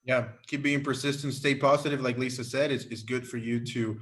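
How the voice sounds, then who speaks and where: A man chairing an online panel gives halting, encouraging closing remarks with fillers on a video call. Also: medium pitch, fairly even intonation, fairly bright tone.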